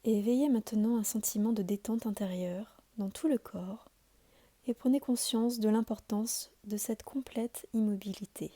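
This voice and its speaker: Mellow-voiced woman guiding a calm meditation. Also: medium pitch. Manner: calm, soothing, deliberate delivery with pauses. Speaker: yoga nidra instructor. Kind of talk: guided meditation, measured scripted instruction.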